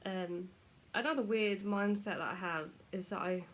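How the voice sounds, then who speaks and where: A woman's voice: mid-range voice, normal speed. A British woman chatting casually to camera at home, self-deprecating, her nose stuffy from a cold. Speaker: vlogger.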